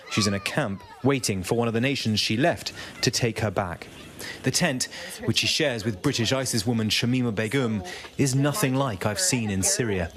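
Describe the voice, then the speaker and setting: A man narrating a scripted television news documentary as a reporter. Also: mid-range voice. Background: background music.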